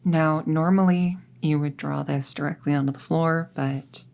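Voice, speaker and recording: A woman playing an earnest apprentice witch in an intimate roleplay audio drama, speaking in a hesitant, gently reassuring way. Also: sits low, steady tone, normal speed, gravelly. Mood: reassuring, explanatory. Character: earnest, careful apprentice witch, close friend to listener.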